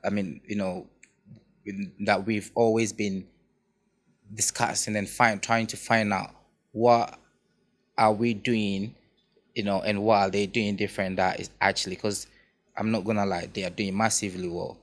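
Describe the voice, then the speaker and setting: A man's voice: lowish voice, little pitch movement, normal speed, long pauses. A man hosting an interview podcast, asking long questions with many restarts and fillers. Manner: hesitant, repeats himself, many fillers. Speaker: podcast host.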